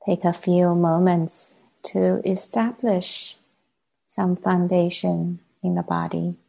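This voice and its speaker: A woman, a native Chinese speaker, leading a guided meditation as a teacher, gently and with long unhurried pauses. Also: lowish voice, level tone, creaky.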